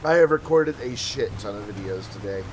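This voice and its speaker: A man's voice: lowish voice, expressive, moderate pace, slight background noise. A man talking casually over gameplay footage as a channel host, chatty and full of asides, his voice tired from hours of talking. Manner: chatty, rambling asides, self-deprecating.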